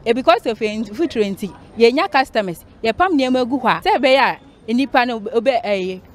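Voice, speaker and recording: Young female interviewee, Ghanaian, somewhat high voice, halting spontaneous answers outdoors. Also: lively intonation. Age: young adult. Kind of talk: street interview. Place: outside a dress shop.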